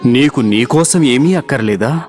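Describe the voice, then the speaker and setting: A man's voice: mid-range voice, lively intonation, normal speed, darkish tone, close to an everyday voice. A man playing a solemn, benevolent god who grants boons in a children's cartoon story, with music under the voice. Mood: solemn, approving.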